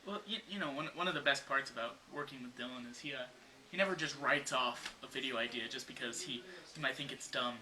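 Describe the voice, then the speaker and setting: A man's voice: mid-range voice, fast talker, sharp tone. A young man rambling with lots of "you know" while joking about his video partner in a mock interview. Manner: rambling, full of you-knows. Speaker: video creator. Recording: echoey room with crowd noise.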